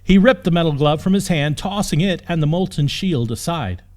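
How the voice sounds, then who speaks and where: A man's voice: on the high side, animated, normal speed, a little mellow. A man reading a fantasy story as an audiobook narrator, with dramatic scripted storytelling. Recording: music under the voice. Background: background music.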